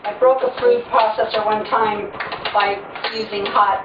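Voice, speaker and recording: A woman's voice: mid-range voice, steady tone, a little slow. Woman, home cooking host, chatty, dull noisy recording, roomy echo. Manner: chatty, talks while working, self-deprecating asides. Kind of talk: casual cooking demonstration. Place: home kitchen, indoors. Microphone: fixed camera across the room. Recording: echoey, distant, dull, noisy home video.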